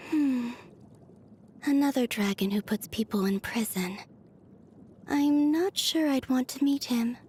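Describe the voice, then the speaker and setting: An actress playing a dreamy, otherworldly young moon maiden in a video game, speaking in a soft, airy, musing way with innocent matter-of-factness. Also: female voice, sits high, unhurried, pauses often, a little hiss or hum. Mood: mildly puzzled. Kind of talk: scripted video game character voice lines. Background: background music.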